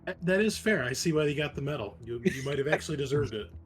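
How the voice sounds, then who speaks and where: A man's voice: on the high side, expressive, fast, faint music behind. A man chatting casually as a player in a tabletop roleplaying game.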